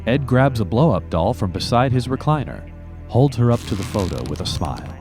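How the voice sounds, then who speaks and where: Animated male narrator over music. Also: medium pitch, brisk. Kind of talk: scripted comedic narration.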